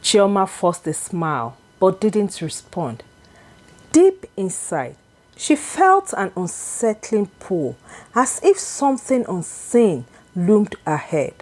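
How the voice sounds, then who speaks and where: A woman narrating a scripted folktale in a deliberate, expressive, dramatic storytelling manner. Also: medium pitch.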